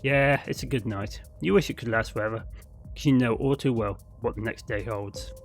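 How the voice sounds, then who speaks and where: A man's voice: medium pitch, moderate pace. Man narrating a story over faint music. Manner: dramatic storytelling with occasional stumbles over words. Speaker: narrator, amateur reader of a visual novel. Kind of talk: scripted narration read aloud.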